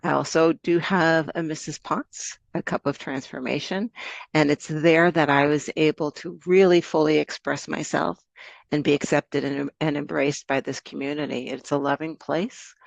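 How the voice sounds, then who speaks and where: Gravelly-voiced grateful American woman. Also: low voice, fairly even intonation, moderate pace, dry recording. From United States. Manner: earnest, grateful, slightly meandering. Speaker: summit guest speaker. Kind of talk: self-introduction on a video call.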